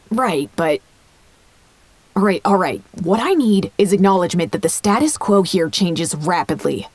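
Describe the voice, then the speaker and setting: A stressed woman in charge of a facility in an audio drama. Her pitch is very animated and swings widely as she speaks in an exasperated, naturalistic way. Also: no room sound. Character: stressed, exasperated woman serving as acting site director.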